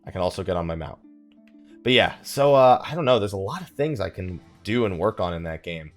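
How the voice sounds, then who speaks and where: A man with a slightly low, very animated voice talks through a game in a casual, rambling way. He thinks aloud and drifts onto side topics, with music playing underneath. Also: brisk. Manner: rambling, thinking aloud, often sidetracking himself. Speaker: gaming video creator and live streamer. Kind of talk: casual let's play commentary, rambling.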